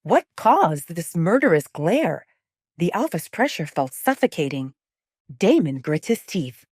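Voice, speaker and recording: A woman narrates fiction in a dramatic, scripted style. Her voice sits slightly low for a woman and moves with animated intonation, recorded close and dry. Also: measured pace, husky, dry recording. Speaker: audiobook narrator.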